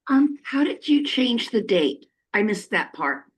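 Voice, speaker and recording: A woman's voice: mid-range voice, sing-song, unhurried, slightly rough, low-quality recording. Woman asking her teacher a question. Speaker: class participant.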